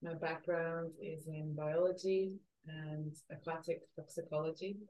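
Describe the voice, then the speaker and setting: A woman's voice: low voice, flat delivery, a little slow, mellow, low-quality recording. A woman from southern Portugal answering as a podcast guest in a reflective, hesitant, conversational way.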